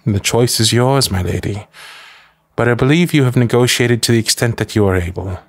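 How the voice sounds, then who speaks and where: A man playing a devoted, protective manservant to a noble lady in an ASMR roleplay, speaking formally, with measured deference and dry disapproval. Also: medium pitch, creaky, no echo, close to an everyday voice. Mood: calm, deferential. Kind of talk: scripted ASMR roleplay acting.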